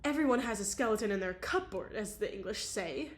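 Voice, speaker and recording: A woman reading aloud, voicing an emotional young society woman with a high, excitable voice. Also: brisk, close to an everyday voice. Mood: agitated.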